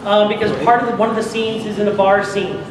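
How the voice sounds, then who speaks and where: A man's voice: high-pitched, flat delivery, fast talker, crowd noise. A man presenting to an audience, giving informal live instructions for a recording session. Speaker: presenter directing an audio drama recording. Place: large convention hall. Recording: echoey noisy hall, distant sound. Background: room noise and ambience.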